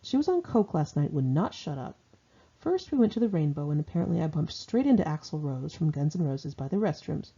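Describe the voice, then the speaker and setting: Husky, mellow woman reading her memoir. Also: low voice, sing-song, a little fast. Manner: wry, sardonic, conversational delivery. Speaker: author reading own memoir aloud. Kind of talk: informal audiobook narration of diary entries. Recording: dull lo-fi home recording.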